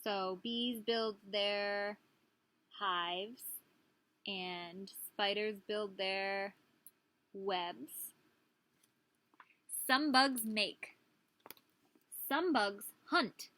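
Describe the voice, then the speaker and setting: A woman's voice: medium pitch, drawn-out words, pauses often, bright, forceful tone. A woman, a preschool teacher, reading a picture book aloud to young children and prompting them with questions.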